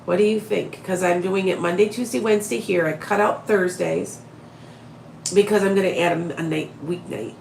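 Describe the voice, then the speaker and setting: A woman's voice, low-pitched and gravelly, with a raspy edge from a head cold that leaves her sniffly and a little short of breath. She chats casually with live-stream viewers, rambling and often restarting her sentences. The sound is roomy and echoey. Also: steady tone, moderate pace, darkish tone, a little hiss or hum. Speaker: psychic medium live-streaming to followers.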